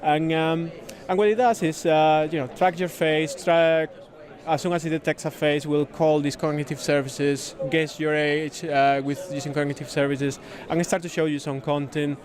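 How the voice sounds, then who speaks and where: A man from Spain with a Spanish accent, a native Spanish speaker, explaining a product in a casual interview with halting, repeated words. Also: on the high side, level tone. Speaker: software consultant demonstrating a product, interviewee. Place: busy conference venue.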